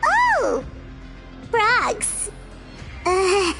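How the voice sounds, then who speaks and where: A woman voicing a tiny, excitable, childlike fairy companion in a video game, with squeaky, exclamatory delivery. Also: extremely slow, exaggerated voice. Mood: uneasy, startled. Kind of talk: English video game voice acting. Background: game music.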